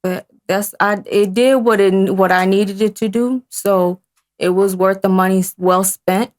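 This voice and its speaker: A woman in her thirties, a guest singer in a casual interview, talking in halting phrases with frequent restarts. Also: mid-range voice, steady tone. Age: 30s.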